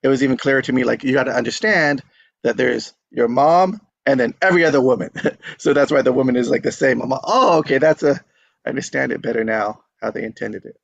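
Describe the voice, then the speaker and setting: A man's voice: medium pitch, fast talker, gravelly, no room sound, highs cut off. A man hosting a table read, talking in an informal, rambling way as he chats with the group. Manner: informal, rambling, quotes own thoughts. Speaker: host of a live script table read. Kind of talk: casual group chat.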